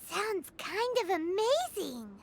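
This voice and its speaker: A woman voicing a tiny, chirpy, boastful fairy girl guide in a video game, with a squeaky childlike voice and perky exclamatory delivery. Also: very animated, slow, creaky, slight background noise, full character voice. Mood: amazed. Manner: perky, exclamatory, talks of self by name. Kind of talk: video game cutscene acting. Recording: prominent music behind the voice. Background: loud background music.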